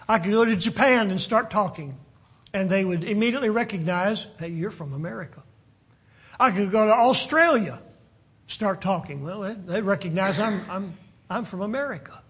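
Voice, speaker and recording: An older man from West Virginia with a West Virginian accent, preaching a sermon in a halting way with many fillers and restarts. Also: sits high, a bit bright, slightly rough. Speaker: pastor.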